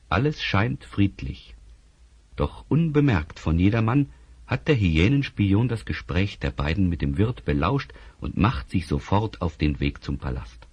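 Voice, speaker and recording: An actor as the calm, ominous narrator of a fantasy audio drama, with a husky voice. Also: male voice, low-pitched, dull audio, full character voice. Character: calm, ominous male storyteller.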